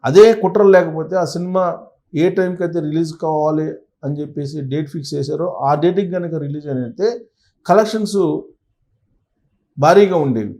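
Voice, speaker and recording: A man's voice: a little high, fairly quick, long pauses, mellow. A man presents conversational news commentary and analysis, stressing points emphatically and repeating phrases. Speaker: presenter of a film news channel.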